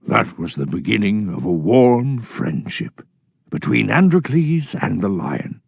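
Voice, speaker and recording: A man's voice: lowish voice, sing-song, measured pace, vocal fry, breathy edge, dry recording, clean studio sound. A man reading scripted story narration as a narrator in a children's readers theatre.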